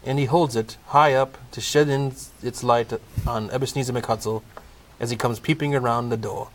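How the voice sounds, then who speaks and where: A man's voice: mid-range voice, steady tone, moderate pace. A man narrating a comedic Christmas audio play from a script, in storybook style. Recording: clearly noisy amateur recording.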